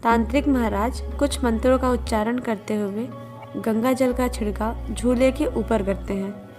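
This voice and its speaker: A woman's voice: fairly high pitch, fairly even intonation, moderate pace, darkish tone, soft background music. A woman narrating a scripted children's horror story as its storyteller.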